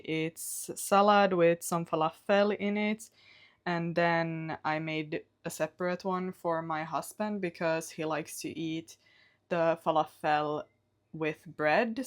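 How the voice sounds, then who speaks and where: A young woman with a Swedish accent, Swedish her first language, chatting casually to camera in her home kitchen as a vlogger and often rephrasing herself. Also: on the low side.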